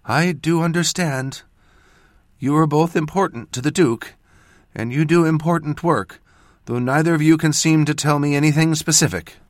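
A man's voice: fairly high pitch, normal speed, no room sound, studio quality, voice pushed up, lightly acted. A man voicing a loving, worried elderly farm mother for an audiobook, emotional and tender. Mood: resigned. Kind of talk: audiobook character voice.

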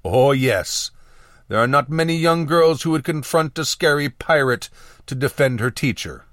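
A man's voice: mid-range voice, normal speed, fairly bright tone, no echo, studio quality, voice pushed up, close to an everyday voice. A man voicing a scary, suspicious male pirate in a fantasy audiobook, growling yet formally courteous. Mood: gruff, respectful.

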